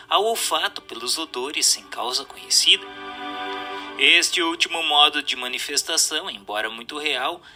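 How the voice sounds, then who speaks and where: Male Brazilian narrator, higher-set and very bright, sharp tone; formal didactic audiobook read with faint music underneath. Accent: Brazilian Portuguese. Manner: formal, measured, didactic reading. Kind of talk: scripted narration of a spiritual text.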